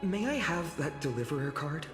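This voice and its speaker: A man's voice: fairly high pitch, sing-song, normal speed. A man playing an earnest, brave village boy hero in a fantasy game, with a thoughtful, formal way of talking. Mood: wistful, thoughtful. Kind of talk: dramatic fantasy game voice acting. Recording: echoing reverb, prominent music underneath.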